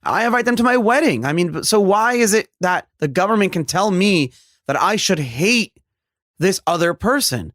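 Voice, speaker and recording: Podcast host, young New man, emphatic and repetitive, raised pitch, dry. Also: animated, moderate pace, a bit bright, dry recording. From New York. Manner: earnest, emphatic, rambling with repeated phrases. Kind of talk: casual interview chat.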